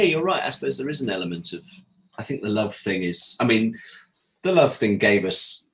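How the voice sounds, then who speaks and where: A British man chatting as an interview guest, telling anecdotes in a casual, animated way and acting out bits of dialogue. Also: fairly low pitch, rough recording. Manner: animated storyteller, acts out quoted dialogue. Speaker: interview guest, former audio drama producer.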